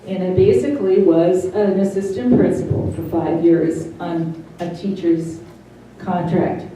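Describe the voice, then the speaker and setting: Slow, halting middle-aged woman, very mellow. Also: lowish voice, fairly even intonation. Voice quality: careful over-articulated consonants after voice loss. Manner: slow and halting, many pauses. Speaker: interviewee. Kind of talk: personal testimony. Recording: roomy, distant mic, faint hiss.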